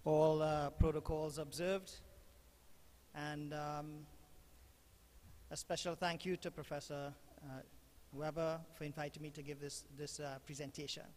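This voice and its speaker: A man's voice: on the high side, little pitch movement, unhurried, a little mellow. A man with a Trinidadian accent giving a university lecture, hesitant, with many fillers and restarts. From Trinidad and Tobago. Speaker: guest lecturer. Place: large lecture hall. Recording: reverberant hall, faint room noise.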